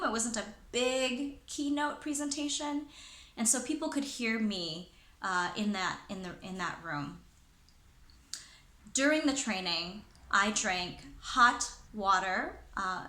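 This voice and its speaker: A woman's voice: mid-range voice, pushed and bright, roomy sound. A woman who works as a presenter and trainer, sharing advice in a chatty way with many fillers and restarts. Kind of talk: casual talking-head advice.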